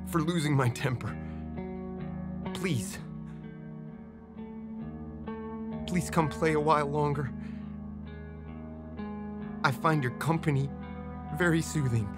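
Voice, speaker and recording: An actor playing a volatile captive chess machine with a puppet head, speaking deliberately and swinging between menace and pleading in a dramatic film style. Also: medium pitch, natural voice. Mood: pleading, contrite.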